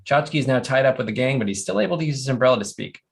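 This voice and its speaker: A husky-voiced narrator reads stage directions for an animated comedy script. The voice is medium in pitch and keeps the action moving between the actors' lines. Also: racing.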